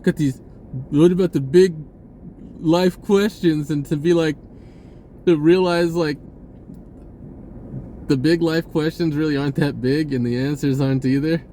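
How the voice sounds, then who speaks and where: A man giving a rambling, informal spiritual monologue in a halting, searching way, often repeating words and slipping into laid-back slang. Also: on the high side, fairly even intonation, dark tone, a little hiss or hum. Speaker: spiritual vlogger.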